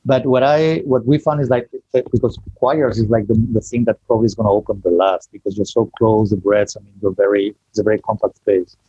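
Guy from spain, english, low mellow voice, a bit husky, dull dry call audio. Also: fairly even intonation, no room sound. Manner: chatty, self-deprecating, halting restarts. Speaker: guest. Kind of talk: casual online interview.

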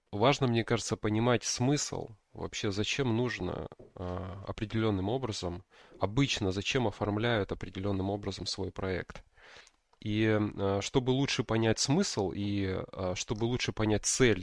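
Low, creaky-voiced man chatting about tech. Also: no echo, studio quality. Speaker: podcast co-host explaining backend development. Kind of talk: casual explanatory tech discussion.

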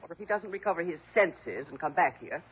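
An actress playing an imperious, melodramatic middle-aged wife who is quick to take offence, in a 1960s radio drama. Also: female voice, lowish voice, expressive, breathy edge. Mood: bossy, demanding. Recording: dull, lo-fi old radio recording.

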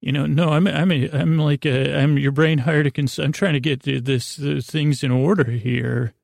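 A man hosting a bedtime podcast in a rambling, halting, goofy and self-deprecating way, with a creaky, gravelly voice. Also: fairly high pitch, steady tone, a little mellow, no echo, studio quality. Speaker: podcast host.

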